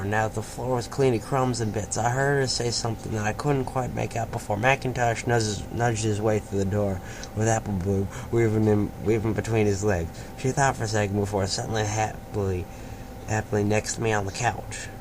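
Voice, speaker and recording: A man's voice: fairly low pitch, fairly even intonation, moderate pace. A man reading a story aloud in an informal amateur way, sometimes stumbling over words and repeating them. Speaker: fan fiction reader and host. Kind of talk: amateur read-aloud of a story with casual asides. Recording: noisy amateur home recording.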